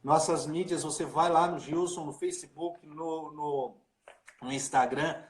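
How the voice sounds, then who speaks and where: Chatty pastor in an echoey room. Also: male voice, fairly high pitch, steady tone, a little slow. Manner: chatty, informal, full of fillers like né and cara. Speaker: pastor hosting a livestream. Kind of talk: casual livestream chat.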